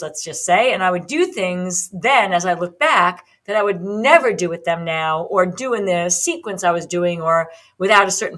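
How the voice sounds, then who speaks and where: A woman's voice: fairly low pitch, a bit bright. Chatty fifties woman hosting a podcast. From United States. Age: 50s. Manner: chatty, rambling, with many ums and restarts. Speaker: podcast host and fitness trainer. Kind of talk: solo podcast talk.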